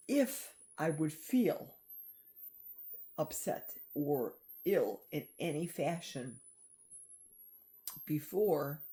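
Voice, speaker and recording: A woman's voice: low voice, warm tone. A middle-aged woman chatting in a confiding way in a personal vlog, talking straight to her viewers. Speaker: vlogger. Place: outdoors in a forest.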